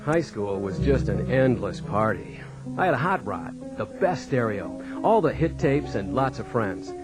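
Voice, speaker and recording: A man's voice: medium pitch, very animated, unhurried, loud music behind the voice, poor audio. An actor with an American accent playing a farm man who tells of his wayward youth in a radio drama. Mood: reflective. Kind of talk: radio drama first-person narration.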